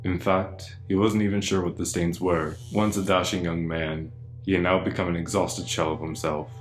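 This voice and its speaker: A man's voice: low-pitched, level tone, moderate pace. A man reading scripted story narration as the narrator of a radio play. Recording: faint music behind.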